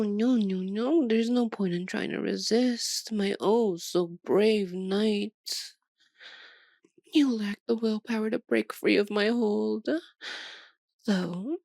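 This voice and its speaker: Woman doing an asmr vampire mistress, ancient n sadistic, silky menacing voice, really slow, dry close sound. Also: fairly low pitch, no room sound. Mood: teasing, hungry. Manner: slow, theatrical, silky and menacing, often dropping to a whisper. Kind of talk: ASMR roleplay voice acting.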